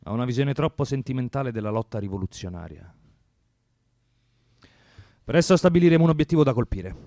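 A man's voice: lowish voice, dark tone, no echo, natural voice. Actor as cold, commanding militant man, close dry sound. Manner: commanding, lecturing, provocative. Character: cold, doctrinaire 1970s Italian communist militant man. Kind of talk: radio drama acting.

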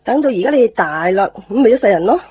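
A woman's voice: fairly high pitch, expressive, quick pace, husky, no echo. An actress playing a practical middle-aged mother in a radio drama, chatty and down-to-earth with a Hong Kong Cantonese accent. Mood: motherly, probing. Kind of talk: casual radio drama dialogue. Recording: slightly muffled.

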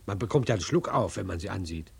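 An actor playing a pompous, patronizing theatre director, declamatory and condescending, in 1940s German radio drama style. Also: male voice, sits low, animated, fast, gravelly, slight background noise. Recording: vintage 1940s radio recording.

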